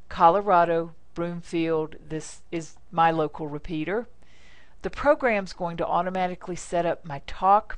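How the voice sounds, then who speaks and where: Woman, American accent, lowish voice, software tutorial narration, informal, short deliberate phrases, dry close recording. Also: no room sound. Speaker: software demonstrator. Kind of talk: step-by-step screencast tutorial narration.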